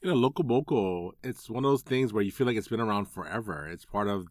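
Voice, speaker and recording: Man, from Hawaii, middle-aged, very low voice, relaxed interview chat, filler words. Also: fast talker, over loud music. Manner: relaxed, conversational, with frequent fillers. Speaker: food writer. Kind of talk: interview commentary.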